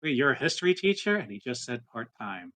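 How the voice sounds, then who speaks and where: Bright-voiced man in casual game chat. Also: medium pitch, very animated, fairly quick. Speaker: tabletop roleplaying participant.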